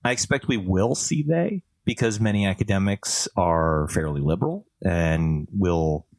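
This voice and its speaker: Man from Canada, deep voice, dry close sound, thoughtful explainer, casual podcast chat. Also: unhurried, darkish tone, no echo. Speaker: podcast co-host, academic.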